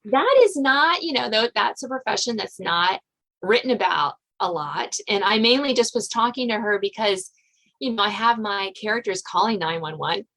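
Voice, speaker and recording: A woman podcast host chats casually with a guest at a medium pitch. Her talk is informal and halting, and she often reshapes a sentence partway through as she works out what she wants to ask or say. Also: expressive, fairly bright tone, poor audio. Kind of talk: casual interview chat.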